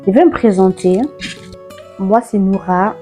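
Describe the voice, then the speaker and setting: A woman's voice: mid-range voice, steady tone, unhurried, mellow, soft background music. A nineteen-year-old woman from Ivory Coast, chatting playfully and sassily to camera in a casual vlog. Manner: chatty, playful, sassy, mixes slang. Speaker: YouTuber.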